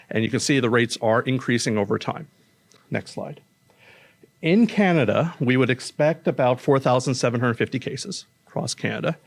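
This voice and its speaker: A man, an oncologist, giving a casual, plain-spoken public talk with slides in a conference room, speaking into a stage microphone. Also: medium pitch. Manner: casual, plain-spoken, says he has a loud voice.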